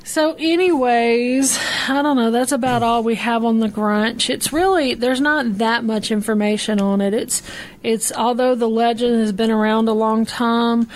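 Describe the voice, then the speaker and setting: A woman co-hosting a podcast in casual chat, dry-humored and quick to laugh. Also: a little high, steady tone. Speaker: podcast co-host.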